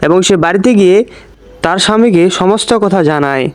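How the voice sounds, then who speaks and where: A man's voice: high-pitched, normal speed, no echo. A man narrating a scripted village story for a cartoon as its storyteller.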